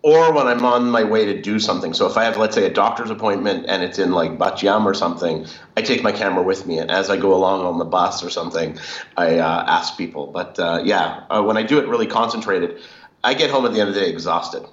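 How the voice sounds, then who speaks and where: A man's voice: low-pitched, quick pace. A man from Canada, a podcast guest, answering thoughtfully with small hesitations and easy laughs. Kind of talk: conversational interview answers. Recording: echoey, muffled remote audio.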